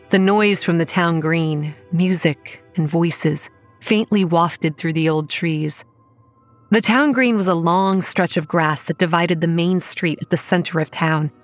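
Casting: anxious yet dry young female lead narrator, horror audio drama, measured storytelling over soft music. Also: fairly low pitch, no room sound. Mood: measured, uneasy. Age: young adult. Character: Kate, wry, anxious young woman running a campground. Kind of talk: scripted first-person horror narration.

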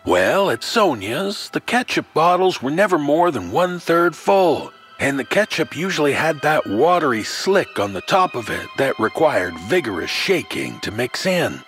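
A man's voice: fairly high pitch, very animated, moderate pace, hardly any pauses, a bit bright, soft background music. A man narrating a scripted horror story in a theatrical, folksy and wry way, speaking straight to the listener. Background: musical score.

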